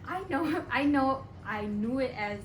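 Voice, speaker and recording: A young woman from Burma giving a class presentation in a halting way, often pausing and restarting. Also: high voice, animated. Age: young adult. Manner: halting, with frequent pauses and restarts. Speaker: college student presenter. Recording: echoey room, distant mic, background noise.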